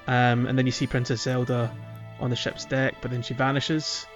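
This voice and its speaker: A man's voice: mid-range voice, flat delivery, normal speed, soft background music. A man chatting casually and enthusiastically about a game as a podcast co-host. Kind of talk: casual game discussion chat.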